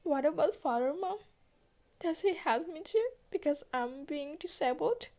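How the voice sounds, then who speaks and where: A woman's voice: high voice, animated, measured pace, darkish tone, pitched above the performer's own voice. A woman voicing a sad young princess in a storybook tale, pleading and hurt as she questions her parents.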